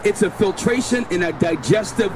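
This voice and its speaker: A male street preacher with a high-pitched voice teaches scripture outdoors. He talks forcefully and insistently, repeating himself and directing the people around him. The recording is noisy, with the street clearly audible behind him. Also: normal speed. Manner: forceful, insistent, repeats himself, tells the reader when to read. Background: street noise.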